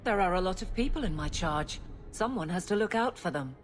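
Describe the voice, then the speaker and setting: An actress playing a dutiful captain of the city guard in a video game, with a dry, matter-of-fact manner. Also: female voice, fairly low pitch, animated, brisk, faint music behind, close to an everyday voice. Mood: dry, teasing. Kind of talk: video game cutscene acting.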